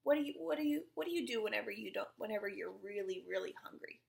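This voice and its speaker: A young woman reading a picture book aloud to small children, asking them questions and often dropping into hushed asides. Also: medium pitch, creaky. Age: young adult. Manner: animated, asks the child listener questions and pauses for answers. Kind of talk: interactive storybook read-aloud for small children.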